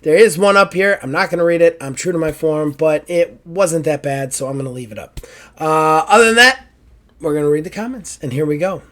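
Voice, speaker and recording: Hoarse, joking man hosting a podcast. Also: on the high side, fairly quick, a bit bright. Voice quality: raspy, strained throat. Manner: joking, profane, self-mocking. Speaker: podcast host. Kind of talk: casual podcast chat.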